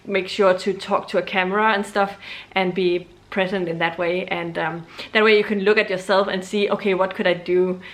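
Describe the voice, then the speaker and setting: A woman's voice: medium pitch, steady tone. A young Danish woman, speaking English as a second language, chatting casually to camera about books with many ums and restarts. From Denmark. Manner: hesitant, many ums and restarts, deliberately slow. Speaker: book vlogger.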